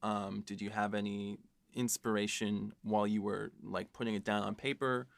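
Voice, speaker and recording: A man's voice: on the low side, flat delivery, moderate pace, gravelly, no echo. A man co-hosting a podcast, chatting casually and enthusiastically and putting questions to his co-writer. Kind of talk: casual behind-the-scenes chat.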